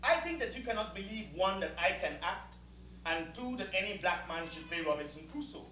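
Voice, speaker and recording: An actor playing a proud, meticulous West Indian servant with a Caribbean accent, making formal, emphatic speeches in a theatrical style. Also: male voice, sits high, animated, fairly quick, sharp tone. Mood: indignant. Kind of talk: theatrical two-hander stage acting. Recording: roomy distant stage recording with hiss.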